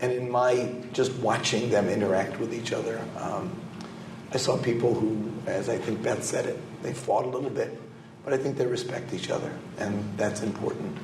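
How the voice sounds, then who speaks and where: A hoarse man with a cold speaks on a panel, his voice raspy and rather low. He is recorded in an echoey hotel conference room with clear background noise. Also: fairly even intonation, moderate pace. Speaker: panelist, scholar.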